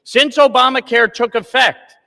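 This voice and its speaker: Combative congressman, bright voice, chamber echo. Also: very high-pitched, fairly even intonation, moderate pace, creaky. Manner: combative, rebutting with figures and quotes. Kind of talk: formal floor speech. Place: large legislative chamber. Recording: long reverb of a large chamber.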